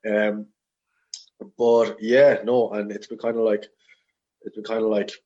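A man's voice: on the low side, flat delivery, measured pace, mellow. A young Irish man in his twenties chatting casually and ramblingly as a podcast guest. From Ireland. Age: 20s. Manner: rambling, informal. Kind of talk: casual personal chat. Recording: slightly dull, lo-fi audio.

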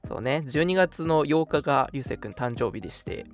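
Animated male radio co-host, casual chat. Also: mid-range voice, normal speed, gravelly, soft background music.

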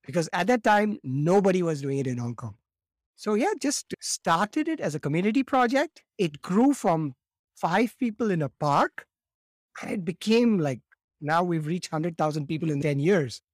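A man in his fifties, raised in India, telling his story as a podcast guest in a lively way, often acting out quotes and repeating words as he starts. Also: high voice, moderate pace, no room sound, studio quality. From born in Morocco, raised in India. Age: 50s. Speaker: guest, laughter yoga teacher.

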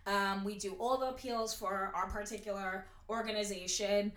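Young female prosecutor guest, loud and outspoken, in an echoey room. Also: medium pitch, fairly even intonation, normal speed. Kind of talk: casual interview chat. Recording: roomy echo.

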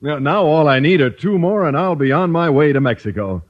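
An actor playing an earnest, polite and oblivious schoolteacher in an old radio comedy, courteous and a little bashful. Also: male voice, a little high, expressive, fairly quick. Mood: pleased, confident. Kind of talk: 1940s-50s radio comedy acting. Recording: muffled old-time radio recording.

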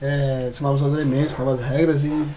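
A man's voice: medium pitch, flat delivery, fast, mellow, a little hiss or hum, rough recording. A man with a Brazilian Portuguese accent chatting casually as a podcast co-host and game master.

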